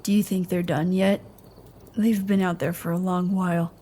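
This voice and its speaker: An actress playing a cautious, polite traveler in an audio drama, speaking in a guarded, understated, careful way. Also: female voice, on the low side, little pitch movement, moderate pace, mellow, lightly acted. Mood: hesitant, careful. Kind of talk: naturalistic audio drama dialogue.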